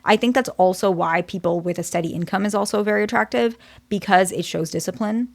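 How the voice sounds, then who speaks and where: A young woman with a naturally quiet, soft voice talks straight to her viewers as the host of her own channel. She speaks candidly, hedging her points and restarting phrases as she works through her advice. Her pitch sits in the ordinary middle range. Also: a little fast, creaky. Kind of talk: casual advice talk to camera.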